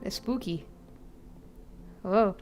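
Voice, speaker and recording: A woman streaming a game live, chatting excitedly and giggling as she plays. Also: mid-range voice, extremely slow, faint music behind. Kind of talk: casual live gameplay commentary.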